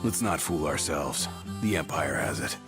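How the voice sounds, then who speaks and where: An actor voicing a gruff, blunt bodyguard in a video game, talking in a colloquial way. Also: male voice, extremely low pitch, lively intonation, normal speed, over music. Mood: blunt, wry.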